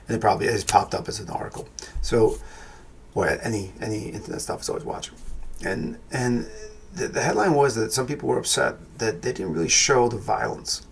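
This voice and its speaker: A man's voice: lowish voice, vocal fry, a little hiss or hum. A man with a New York accent giving a casual, rambling film review on his podcast, thinking aloud with frequent restarts. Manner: rambling, thoughtful, many restarts and fillers.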